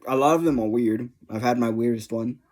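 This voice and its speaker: An online creator chatting casually to viewers in a rambling, easily distracted way, energetic, giggly and self-deprecating. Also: mid-range voice, a little fast.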